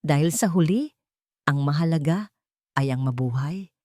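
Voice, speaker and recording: A woman's voice: deep voice, expressive, normal speed, warm tone, dry recording, clean studio sound. A woman voicing a cold, proud, wealthy matriarch in an audio drama, speaking with bitter menace. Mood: cold, menacing. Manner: bitter, threatening, whispering venom.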